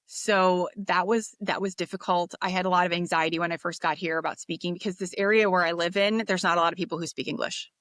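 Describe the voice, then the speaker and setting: A woman from the United States, a podcast guest, talking in a relaxed, reflective way with fillers and restarts. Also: on the low side, fast, few pauses. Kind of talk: casual interview talk.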